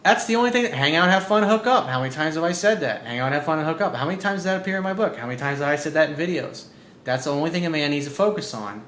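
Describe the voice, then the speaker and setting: A man working as a dating coach, giving blunt, profane advice as he reads out listener emails. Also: rapid-fire. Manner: blunt and profane, animated, repeats key points. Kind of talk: casual advice monologue reading out emails. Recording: roomy echo with faint low rumble.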